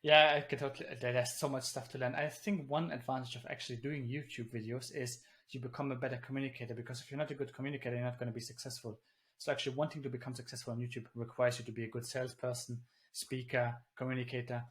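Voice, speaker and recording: A man's voice: mid-range voice, flat delivery, quick pace, hardly any pauses, a bit bright. A man born in Germany, a native German speaker, chatting as an interview guest over a video call and rambling with many fillers and restarts. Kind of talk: casual conversation. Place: living in the Netherlands.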